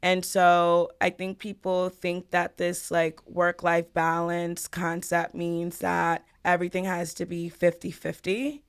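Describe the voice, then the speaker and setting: A woman's voice: fairly low pitch, completely flat delivery, takes it slowly, few pauses, clean tone. A woman from the Bahamas and Florida answering interview questions as a guest in a reflective, conversational way. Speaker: podcast guest, family law attorney.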